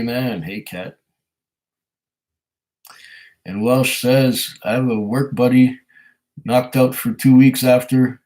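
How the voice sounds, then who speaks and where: A man with a hoarse voice from a cold, hosting a casual live stream, reading viewer comments and chatting in a rambling, hesitant way. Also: mid-range voice, darkish tone, poor audio. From Canada. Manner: rambling, hesitant, chuckles often. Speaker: whiskey channel host reading live chat.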